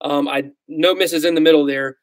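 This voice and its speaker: A man's voice: fairly high pitch, steady tone, normal speed, no echo. A man in his thirties with a Southern US accent, chatting casually on a livestream as host, rambling and self-deprecating, with lots of ums and likes. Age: 30s. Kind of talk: casual livestream chat reading comments.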